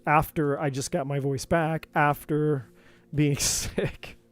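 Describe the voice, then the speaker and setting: A man doing a live tarot reading, talking in an earnest, encouraging way with many repeats, his voice going a bit hoarse. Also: a little high, level tone, slow pace. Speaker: tarot reader.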